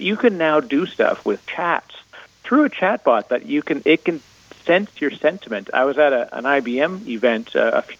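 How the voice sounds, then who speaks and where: A man's voice: mid-range voice, highs cut off. A Canadian man speaking as a guest on a radio panel, informal and wry, with stop-and-start phrasing. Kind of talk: casual tech discussion.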